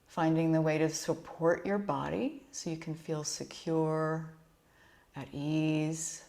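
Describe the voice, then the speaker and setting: A woman with a low-pitched voice leads a guided relaxation practice. She speaks as a yoga nidra instructor, giving gentle, unhurried instructions in a soothing manner. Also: steady tone, vocal fry.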